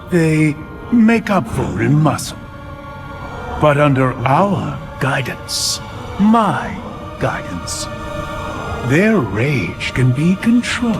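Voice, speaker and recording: An alien zealot villain in a game cinematic, delivering grandiose speeches with silky mock courtesy. He speaks slowly in a fairly high, very animated voice, with music playing behind him. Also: exaggerated voice. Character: haughty alien Covenant prophet, silky male zealot villain.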